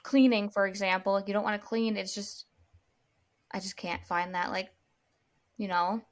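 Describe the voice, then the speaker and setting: A woman in her thirties co-hosting a casual podcast chat, relaxed and rambling as she talks. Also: medium pitch, normal speed. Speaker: podcast co-host.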